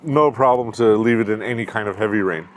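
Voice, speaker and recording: A man from the United States, a company founder, explaining a product in a steady technical interview with frequent filler sounds. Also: lowish voice, fairly quick, a little hiss or hum.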